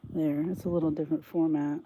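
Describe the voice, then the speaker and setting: A woman's voice: deep voice, level tone, fast, narrow, tinny audio, noisy background. An older woman vlogger with a congested head cold, chatting in a rambling, thinking-aloud way and often restarting her sentences. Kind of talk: casual rambling chat to camera.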